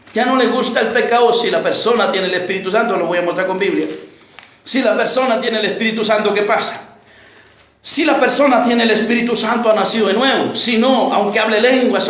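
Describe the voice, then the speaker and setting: A man preaching a fiery evangelical sermon, emphatic and declamatory, with repeated phrases and rhetorical questions. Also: extremely high pitch. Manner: declamatory, often rising to shouts. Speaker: preacher, pastor. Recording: very echoey, reverberant room sound.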